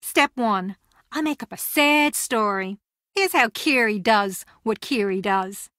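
A bright, high-voiced sassy schemer. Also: female voice, takes it slowly, dry recording, clean studio sound. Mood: scheming. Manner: sassy, boastful, dramatic. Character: scheming, theatrical, combative young woman reality-show contestant. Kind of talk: video game voice acting.

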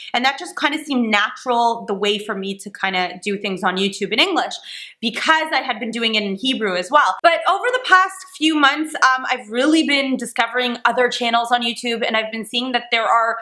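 A young woman from Canada chatting to the camera in a vlog, rambling and animated with lots of fillers. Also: on the high side. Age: young adult. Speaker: vlogger. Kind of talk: casual chat to camera. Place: small dim living room indoors.

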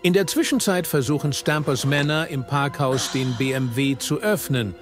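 A man's voice: medium pitch, normal speed. A man narrating a scripted audio drama as its storyteller. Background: film score music.

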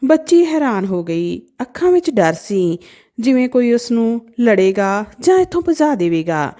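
Woman, storyteller, soft mellow tone, fairly high pitch, gentle emotive delivery, scripted narration. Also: big pitch swings, unhurried.

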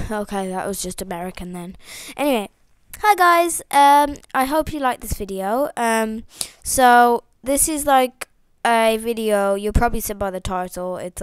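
A woman's voice: a little high, clean tone, no echo. A teenage girl with a cold chatting in a rambling way, full of fillers and restarts, into a headset mic at home. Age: teens. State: has a cold, sniffly. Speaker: toy video maker. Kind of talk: rambling casual outro chat. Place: indoors at home.

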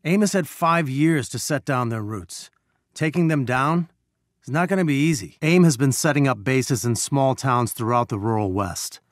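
Actor for male scientist rebel leader in a game: earnest, grave, measured, mid-pitched, cleanly dry recording. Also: dry recording, close to an everyday voice. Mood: grave, focused. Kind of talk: video game voice acting.

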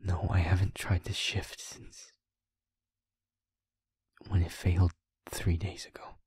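A husky, very breathy young werewolf boyfriend, acted by a man, low and close in an intimate audio drama. He talks slowly and haltingly, often half-whispering, with wounded determination and tenderness. The sound is dry and dark. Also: level tone, no echo, full character voice. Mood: weary, subdued. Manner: halting, slow, heavy pauses. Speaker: audio roleplay voice actor.

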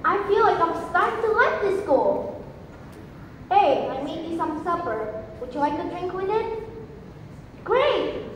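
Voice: extremely high pitch, big pitch swings, a little slow, pauses often, fairly bright tone, heavily acted. A child of about twelve playing a hardworking teenage son, resentful yet devoted to his mother, in an earnest, theatrical stage monologue. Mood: hopeful. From Indonesia. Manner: earnest, theatrical, emotional delivery. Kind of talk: school monologue competition performance. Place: large indoor auditorium. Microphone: phone camera from far off. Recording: echoey school auditorium, distant phone, noisy.

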